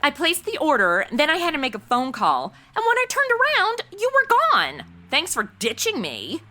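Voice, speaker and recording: A woman's voice: high-pitched, wildly swooping pitch, moderate pace, sharp tone, full character voice. An American woman playing a chatty, flippant modern rookie investigator in a comedy audio drama, breezy and casual. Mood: indignant.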